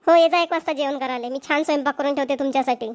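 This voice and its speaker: A woman's voice: sits high, racing, lightly acted. A woman voicing a dutiful, bashful young village daughter-in-law in an amateur cartoon comedy, with a Varhadi Marathi accent. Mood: playfully scolding.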